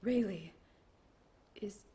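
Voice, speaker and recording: A woman's voice: medium pitch, drawn-out words, warm tone. An actress playing a proud, righteous woman knight from an ancient era, with grand, archaic diction, in a video game. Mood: grieving, dazed.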